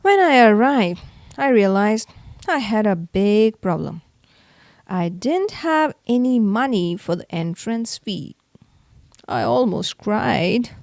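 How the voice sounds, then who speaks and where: Slow, very animated woman storyteller. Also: mid-range voice, creaky, dry recording, clean studio sound. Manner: theatrical, acts out the exclamations. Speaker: storyteller reading a children's book aloud. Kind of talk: expressive read-aloud narration for kids.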